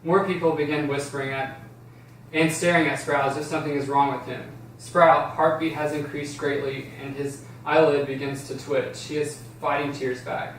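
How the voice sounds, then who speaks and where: A man's voice: fairly high pitch, steady tone, normal speed. A man reading scripted stage directions as the narrator of an amateur table read. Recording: roomy, distant, faint noise.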